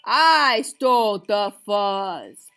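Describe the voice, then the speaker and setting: Woman voicing a loud, boastful prairie dog. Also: mid-range voice, animated, very slow, clean tone. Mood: boastful snarling.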